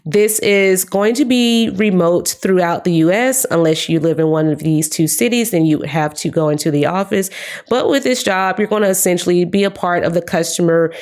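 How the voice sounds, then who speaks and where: A young woman vlogger giving chatty job advice straight to her viewers, her voice hoarse and giving out from being sick. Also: lowish voice, normal speed, darkish tone. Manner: chatty, upbeat, addresses viewers as guys. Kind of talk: casual talk to camera.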